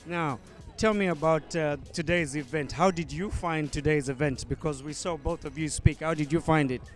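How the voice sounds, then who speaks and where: A man interviewing people at an event, halting with frequent fillers and restarts. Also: fairly high pitch, faint music behind. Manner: hesitant, many ums and restarts.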